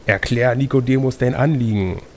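Calm, creaky old wizard, read by a man. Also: medium pitch, a little hiss or hum, natural voice. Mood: stern. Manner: calm, measured speaking. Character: old, tired, reclusive wizard, stern yet helpful. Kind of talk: gamebook read-aloud.

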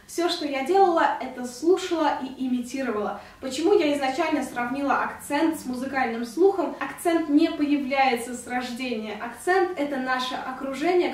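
A woman's voice: high-pitched, heavy reverb, distant mic. A young woman in her twenties from Russia, earnestly explaining her thoughts to the camera in a casual vlog with her hands moving. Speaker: vlogger. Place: indoor room at home.

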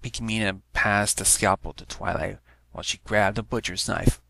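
A man's voice: lowish voice, steady tone, normal speed, gravelly, bright, forceful tone, dry recording. A man narrating a written story, reading it aloud as scripted prose.

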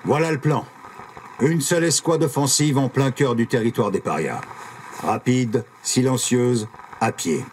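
An actor playing a resolute supersoldier squad leader in a video game, firm and measured. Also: male voice, mid-range voice, slight background noise, close to an everyday voice. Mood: grimly determined. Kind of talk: video game character acting.

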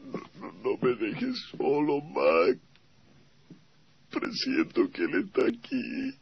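An actor playing a frightened, pleading gangster in a melodramatic radio drama. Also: male voice, a little high, wildly swooping pitch, slow pace, gravelly, breathy edge, heavily acted. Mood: fearful pleading. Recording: dull old radio recording.

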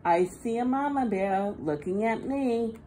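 A woman reading a picture book aloud to young children in an expressive, sing-song manner, recorded indoors at a table. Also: fairly high pitch, unhurried, low-quality recording. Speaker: children's storybook reader. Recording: faint background room noise.

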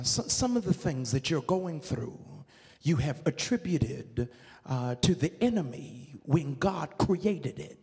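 A middle-aged man preaching a sermon to a congregation on a handheld mic in a large church, moving from measured teaching into sung, shouted preaching. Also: fairly high pitch. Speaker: pastor. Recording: long reverb of a church hall.

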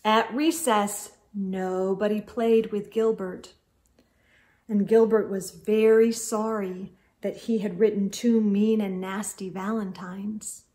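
A middle-aged woman reads a picture book aloud for children, expressive and carefully phrased, as a storytime host. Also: mid-range voice, a little hiss or hum. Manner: deliberate, clearly phrased delivery aimed at young children. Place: indoor room with bookshelves.

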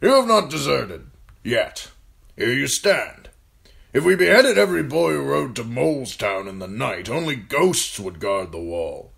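A man voicing a gruff older military commander in an audiobook, talking bluntly and firing off needling questions. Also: medium pitch, very animated, unhurried, a bit bright, voice pushed up, heavily acted. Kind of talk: audiobook character voice.

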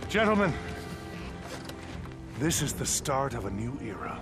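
An actor playing a militia colonel in a game cutscene, commanding and formal. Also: male voice, mid-range voice, sing-song, unhurried. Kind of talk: video game cutscene acting. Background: game music.

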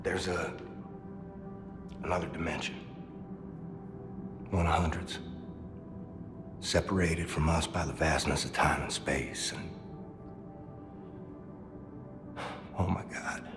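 Deep-voiced, weary soldier father. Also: fairly even intonation, music in the background. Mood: anguished, halting. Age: middle-aged. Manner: halting, grave, brooding. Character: weary, guilt-ridden middle-aged soldier father in hiding. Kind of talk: dramatic video game cutscene acting. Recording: cavernous reverb, loud score underneath.

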